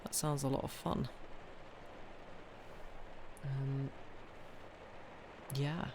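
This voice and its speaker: A woman's voice: very deep voice, steady tone, drawn-out words. A woman hosting a live stream, talking casually and politely to viewers in a rambling way. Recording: clear background noise.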